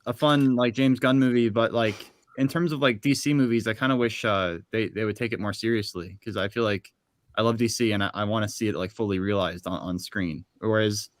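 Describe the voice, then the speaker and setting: A young man chatting as a guest on a video call panel, quick and repeating words as he starts sentences. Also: fairly low pitch, fairly even intonation, no echo. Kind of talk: casual panel chat.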